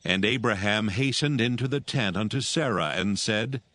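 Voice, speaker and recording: Low-voiced male scripture narrator. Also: moderate pace, dry recording. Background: soft music.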